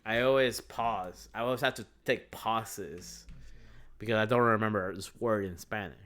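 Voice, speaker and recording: Young male co-host from Venezuela; bright, edgy tone; reflective, halting casual talk. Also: fairly low pitch. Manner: hesitant, restarts often, reflective. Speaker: podcast co-host. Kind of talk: casual chat.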